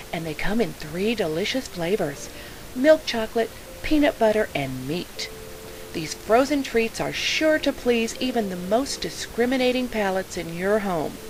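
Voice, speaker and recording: A woman announcer reading a scripted parody commercial on a hissy recording. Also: a little high. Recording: clearly noisy, hissy track.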